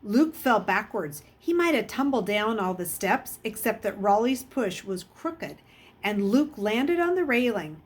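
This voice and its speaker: A woman reading a children's novel aloud in an expressive storytelling style. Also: medium pitch, measured pace. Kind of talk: expressive storybook read-aloud with character voices.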